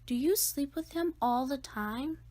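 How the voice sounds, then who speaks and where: A woman's voice: high voice, a little slow, a little mellow, voice pushed up. A woman voicing a curious, persistent young child in a storybook read-aloud. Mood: curious, insistent.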